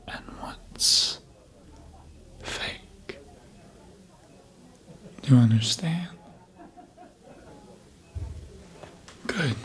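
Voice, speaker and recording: Someone doing a seductive mind-controlling vampire, asmr, super slow n hypnotic, soft breathy whispers right on the mic. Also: medium pitch. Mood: teasing, seductive. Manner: slow, deliberate, hypnotic, with long pauses. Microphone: close ASMR microphone.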